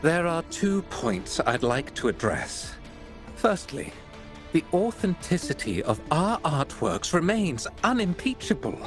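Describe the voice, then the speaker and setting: A man's voice: fairly high pitch, sing-song, a little slow, faint music behind. A male actor playing a pompous, indignant art exchange boss who speaks in formal, self-righteous oratory.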